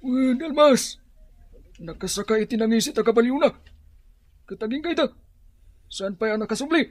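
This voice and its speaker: Sidekick henchman for radio drama: very high, swooping, eager and excitable male voice. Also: moderate pace. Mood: excited.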